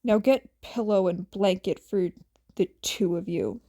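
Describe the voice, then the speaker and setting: A woman's voice: medium pitch, measured pace, warm tone, pitched below the performer's own voice. A woman voicing a bossy, exasperated young man in a story, with dry, casual, slangy lines. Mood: weary, bossy. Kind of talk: acted storybook dialogue.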